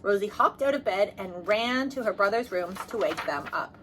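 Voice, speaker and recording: A woman reading a children's storybook aloud as narrator, in an expressive manner. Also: mid-range voice, moderate pace, noisy background. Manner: expressive, acts out dialogue. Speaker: storybook reader.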